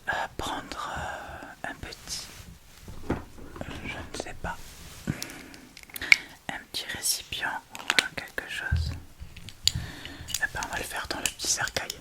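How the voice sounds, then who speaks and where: A woman's voice: slow pace, pauses often. Whispering woman doing ASMR. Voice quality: soft breathy whisper. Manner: informal, chatty, thinking aloud. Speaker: ASMR video host. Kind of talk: whispered ASMR makeup tutorial, casual.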